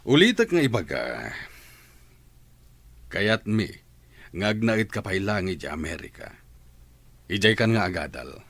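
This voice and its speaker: A man's voice: on the low side, sing-song, a little slow, pauses often, fairly bright tone, no room sound, full character voice. A middle-aged man acting a strict father who scolds his daughter in an audio drama. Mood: stern. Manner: firm, scolding.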